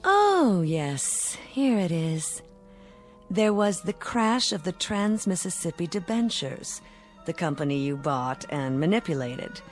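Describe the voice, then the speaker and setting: An actress playing a suave devil posing as a travel agent in 1960s television drama, speaking with poised, formal precision and cool teasing. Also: female voice, fairly low pitch, very animated, slow. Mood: businesslike, coolly amused. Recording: old TV soundtrack with background music.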